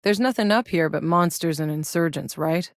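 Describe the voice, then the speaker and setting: Casting: battle-hardened sci-fi game heroine, female, lowish voice, blunt, wry, very dry close recording. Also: moderate pace, no echo. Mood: focused, curious. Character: tough, sardonic battle-hardened female soldier in sci-fi wasteland. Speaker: video game voice actor. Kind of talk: scripted video game character voice lines.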